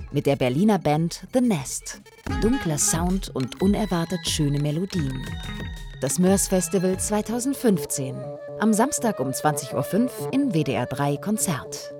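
A woman announcer with a standard German accent reads a scripted radio promo over prominent music. Her voice is fairly low, and her intonation moves around in a lively way.

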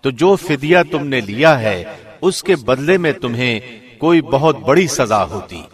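A man reading a scripture translation from a script in a measured, formal announcer's delivery. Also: medium pitch, animated, slightly rough. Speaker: translation reader, radio host.

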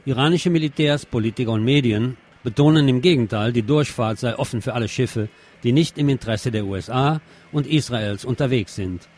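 A man's voice: medium pitch, a little fast, a little mellow. A man presenting scripted news commentary as a radio presenter, with pointed, sardonic phrasing.

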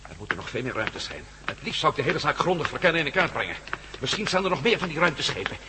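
Through clear noise comes a bold, idealistic adventurer in a radio drama. He is brisk, sure of himself and a bit impatient. His voice lies on the high side. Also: very animated, in a large hall. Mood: eager. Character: eager, bold, idealistic male adventurer leading an expedition. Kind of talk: Dutch radio drama acting.

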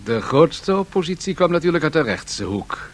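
Radio drama casting: calculating Swedish magnate, unyielding negotiator, slightly high voice, very animated intonation. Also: male voice, moderate pace. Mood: polite, calculating. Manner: businesslike, calculated bargaining. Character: shrewd, unyielding Swedish match tycoon negotiating huge deals. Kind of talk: 1970s Dutch radio drama acting. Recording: noisy 1970s radio drama recording.

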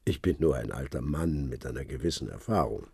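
An actor playing an old, seasoned former spy contact who speaks in a measured, fatherly, knowing way in a radio drama. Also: very deep voice, creaky, a little mellow, breathy edge, no echo, exaggerated voice. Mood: calm, knowing. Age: older. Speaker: informant on the phone.

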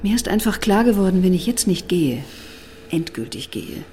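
A woman's voice: medium pitch, very animated, normal speed, mellow, slight background noise, natural voice. An actress playing a guarded, rueful older woman with a weary manner in a radio drama. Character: guarded, bitter older woman, self-deprecating. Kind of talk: German radio drama dialogue.

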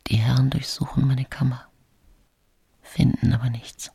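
An actress playing a secretive, fearful middle-aged woman accused of poisoning, in a husky whispered radio drama monologue. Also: extremely low pitch, little pitch movement, unhurried, dark tone, no room sound, exaggerated voice.

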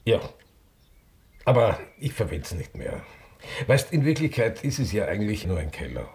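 An actor playing a teasing, nostalgic older Austrian former club owner in naturalistic radio drama dialogue. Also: male voice, fairly low pitch, very animated, moderate pace, a little mellow. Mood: dry, guarded. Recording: echoey room.

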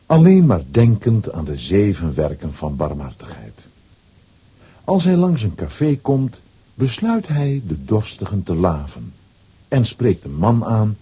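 A male narrator with a low, mellow and very husky voice tells a children's story. His delivery is scripted storybook narration with lively swings in pitch.

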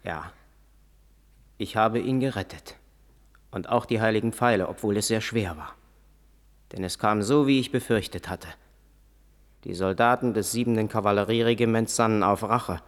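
An actor playing a proud, solemn Lakota warrior father who recounts the past in a German radio play, in measured storytelling. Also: on the low side, lightly acted. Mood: grave. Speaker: narrator and lead character.

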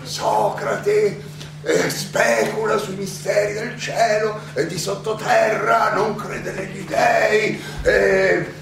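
In a very echoey, distant space an old philosopher on trial, his voice high and gravelly. He is defiant and sardonic, arguing in rhetorical questions with theatrical pauses, as in a stage play. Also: little pitch movement, slight background noise, heavily acted. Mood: sardonic, indignant. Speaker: stage actor. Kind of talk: theatrical courtroom monologue.

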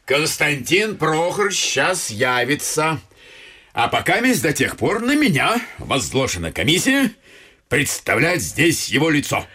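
An actor playing a blunt, nosy, self-important middle-aged family friend with pompous, old-fashioned speech in a theatrical radio comedy. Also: male voice, a little high, big pitch swings, measured pace, pushed and bright, breathy edge, full character voice. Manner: pompous, old-fashioned officialese, folksy asides.

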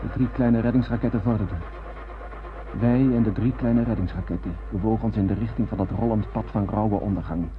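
Low, muffled, haunted space-voyage narrator played by a man. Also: steady tone, fairly quick, close to an everyday voice. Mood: awed dread. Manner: grave, literary storytelling. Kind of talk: dramatic radio play narration. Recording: dull, muffled old radio recording.

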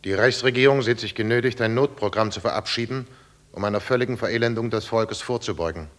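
An actor playing an urgent news announcer, reading bulletins in a clipped, official manner. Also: male voice, quick pace, soft background music, lightly acted. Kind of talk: scripted 1920s news bulletin. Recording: very noisy, artillery rumble behind.

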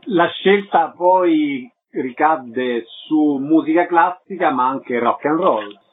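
A man's voice: on the high side, slow pace, narrow, tinny audio, slight background noise. A man presenting and asking questions as a radio interviewer. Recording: thin band-limited radio sound.

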